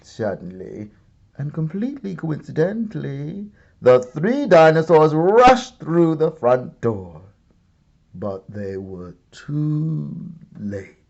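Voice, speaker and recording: A man reads a children's story aloud as an expressive narrator, theatrical and deliberate with comic timing. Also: a little high, creaky, lo-fi sound. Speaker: storybook reader, art and stories teacher.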